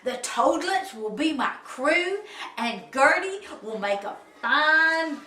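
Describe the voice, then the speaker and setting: Old salt captain for a storybook female reader: blustery, emphatic, bright and loud-edged, in a roomy space. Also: high-pitched, lively intonation, slow, exaggerated voice. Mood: proud, commanding. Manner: blustery, emphatic sailor talk. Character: gruff but hearty old sea captain, male storybook animal. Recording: roomy, picked up from a distance.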